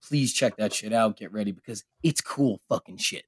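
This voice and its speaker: Hoarse, raspy man hosting a podcast. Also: on the low side, moderate pace, no echo, clean studio sound. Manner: laughing, profane, joking. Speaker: podcast host. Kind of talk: casual podcast banter.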